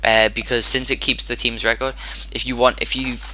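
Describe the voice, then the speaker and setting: A man with a hoarse, sore-throated voice giving casual commentary over his own gameplay, rambling and often restarting his sentences. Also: medium pitch, flat delivery, normal speed, noisy background. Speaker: gaming commentator.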